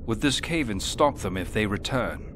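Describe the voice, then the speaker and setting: Man playing a low-voiced, terse commander. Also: lively intonation, normal speed, noisy background, natural voice. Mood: composed. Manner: curt, composed, authoritative. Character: calm, terse male warden commander. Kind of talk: video game voice acting.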